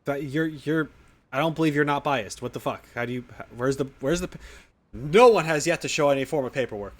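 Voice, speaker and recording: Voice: mid-range voice, brisk. A game streamer giving casual reaction commentary, slangy, sweary and heckling. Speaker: streamer playing a video game.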